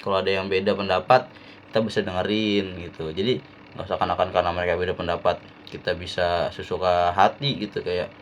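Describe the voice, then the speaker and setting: A young man from Jakarta, a university student giving a relaxed, slangy class-assignment talk with frequent fillers. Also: low voice, quick pace, slight background noise. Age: young adult.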